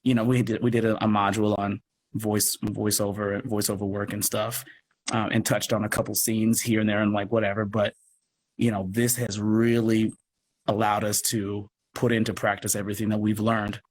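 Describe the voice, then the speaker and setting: A man speaking as an interview guest in a casual, halting way, thinking aloud as he goes. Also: fairly low pitch, level tone, slight background noise. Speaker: guest, audio drama producer and actor.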